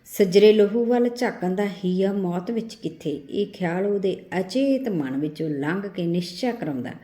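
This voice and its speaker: Mellow woman storyteller in echoey room. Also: mid-range voice. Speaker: storyteller, narrator. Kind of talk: scripted literary short story narration. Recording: roomy echo on the voice.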